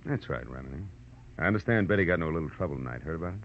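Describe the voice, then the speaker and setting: A man's voice: very deep voice, expressive, racing, gravelly, slight background noise, exaggerated voice. A man playing a wry, tough American private detective in a 1940s-style radio drama, wisecracking as he narrates his case. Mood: dry banter. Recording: dull old radio recording with music.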